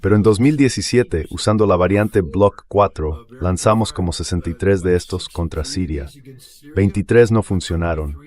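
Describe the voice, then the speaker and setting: Man, low-pitched, voice-over commentary, emphatic, explanatory, declarative. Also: normal speed, a little mellow. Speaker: translated voice-over of an interview guest. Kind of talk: dubbed political and military commentary.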